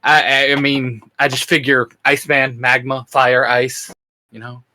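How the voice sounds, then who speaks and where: A man co-hosting a fan discussion in a casual, chatty, animated way, often interrupting himself. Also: medium pitch, measured pace. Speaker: co-host of a fan discussion show.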